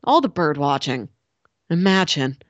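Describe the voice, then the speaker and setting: A woman's voice: deep voice, very animated, measured pace, dry recording, lo-fi sound. Woman chatting casually, dry close sound. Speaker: podcast co-host discussing a film. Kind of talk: casual chat.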